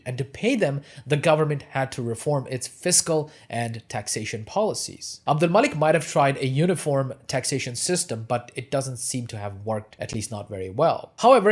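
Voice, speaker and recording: Urdu-speaking man narrating history. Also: medium pitch. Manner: lively emphasis on transitions, falling at sentence ends.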